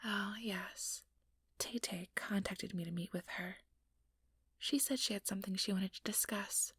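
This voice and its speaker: A woman playing an ambitious, sugary, manipulative vampire in an ASMR roleplay, speaking in a breathy, whispery voice with coaxing, condescending sweetness. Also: medium pitch, a little slow, fairly bright tone, no room sound. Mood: falsely sympathetic. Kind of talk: ASMR roleplay, soft whispered monologue to listener.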